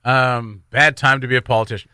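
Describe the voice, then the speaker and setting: A man's voice: mid-range voice, steady tone, a little fast, pushed and bright, clean tone, no room sound, studio quality. A man hosting a morning radio show with casual, joking, rambling banter and announcements. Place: radio studio.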